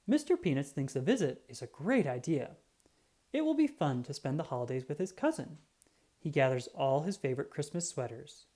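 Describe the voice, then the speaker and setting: Low, mellow, animated female storyteller. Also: fairly quick. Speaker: children's book reader. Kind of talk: scripted children's storybook read-aloud.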